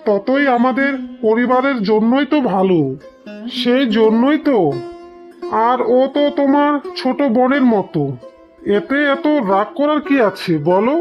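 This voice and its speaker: Woman as high-voiced, placating cartoon husband. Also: a little slow. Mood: coaxing, puzzled. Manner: coaxing, reasoning, mildly exasperated. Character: placating, puzzled husband of a sulking wife. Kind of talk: Bengali moral-story cartoon acting. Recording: slightly dull, lo-fi sound. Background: plucked string music.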